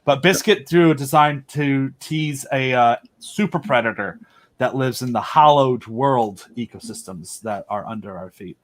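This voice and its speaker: A young man chatting on a home podcast mic, eager and rambling as he piles on details between fillers. His pitch sits in an ordinary middle range, and his talk is loose and unscripted. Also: a little slow. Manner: rambling, enthusiastic, filler-heavy. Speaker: podcast guest. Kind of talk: casual chat.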